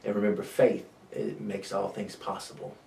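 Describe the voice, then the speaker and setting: A man speaking as a pastor in an earnest, measured devotional sermon, repeating key phrases with pauses. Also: on the low side, monotone, creaky, darkish tone, slight background noise. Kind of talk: short devotional sermon talk. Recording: roomy echo, distant microphone.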